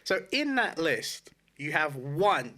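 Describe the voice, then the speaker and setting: A man's voice: fairly high pitch, sing-song, slow, bright, forceful tone. A man co-hosting a casual video game podcast, talking in a blunt, slangy way with some swearing. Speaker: podcast co-host. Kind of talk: casual podcast chat about video games.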